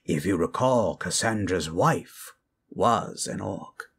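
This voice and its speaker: A man voicing a grave, learned monstrous elder in a fantasy audio roleplay, speaking in a gravelly, husky voice with a measured, formal manner. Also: sits low, animated, fairly bright tone, exaggerated voice. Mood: solemn, measured.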